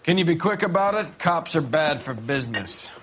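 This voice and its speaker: Surly, higher-pitched businessman, played by a man. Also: expressive, normal speed, crowd noise, lightly acted. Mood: irritated. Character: surly, defiant male business owner. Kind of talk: video game drama acting.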